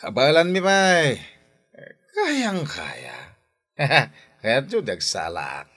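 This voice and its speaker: An actor playing a boozy, excuse-making husband in an Ilocano radio drama, drawling between mumbles and exclamations. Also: male voice, mid-range voice, huge pitch swings, very slow, sharp tone, full character voice. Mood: boisterous.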